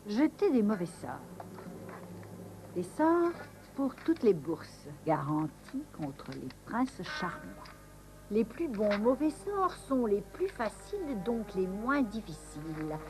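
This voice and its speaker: A woman's voice: medium pitch, big pitch swings, slow pace, music in the background, poor audio. A woman playing a vain, scheming evil queen in a cartoon, theatrical and menacing, savoring each word. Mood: scheming, gleeful. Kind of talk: French-language cartoon dub acting.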